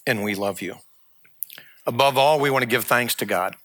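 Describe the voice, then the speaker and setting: Earnest bright-voiced pastor in his sixties. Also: mid-range voice. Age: 60s. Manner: earnest, measured, heartfelt. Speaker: lead pastor. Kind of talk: sermon and farewell announcement.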